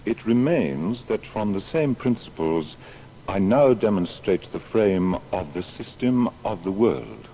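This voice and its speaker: An actor playing Isaac Newton as a grave, proud natural philosopher, speaking in a solemn, measured, formal way. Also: male voice, on the low side, lively intonation, dark tone, low-quality recording. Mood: solemn, declaratory. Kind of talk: dramatised historical reading. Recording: faint background noise.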